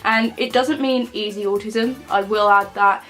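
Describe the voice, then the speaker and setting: A young woman in her twenties chats straight to camera in a relaxed vlog. Her voice is nasal and stuffy from a cold, and she talks plainly with plenty of ums and uhs. Faint music sits behind her. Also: mid-range voice, moderate pace. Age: 20s. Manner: chatty, plain-spoken, many fillers. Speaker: vlogger. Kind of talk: casual talk to camera.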